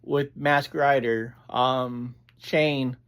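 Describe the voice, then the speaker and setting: A reviewer, chatting casually in a halting, digressive way as host of a review. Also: mid-range voice, fairly even intonation, fairly bright tone, clear, resonant tone. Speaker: audio drama reviewer, host. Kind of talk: casual rambling review chat.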